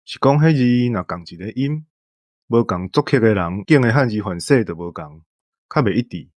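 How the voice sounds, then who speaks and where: A man's voice: fairly low pitch, expressive, moderate pace, a little mellow, dry recording. A man with a Taiwanese accent co-hosting a show, explaining things in casual conversation. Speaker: co-host. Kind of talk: casual explanatory podcast chat.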